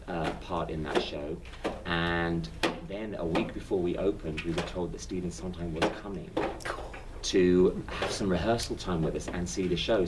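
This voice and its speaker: A man with a low voice and a London accent tells stories about his stage career in a relaxed, chatty way and often restarts mid-sentence. He sits some distance from the microphone, and steady noise hangs behind him. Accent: London English. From England. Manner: chatty anecdotal storyteller with frequent restarts. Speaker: interview guest, stage actor. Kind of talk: casual interview chat.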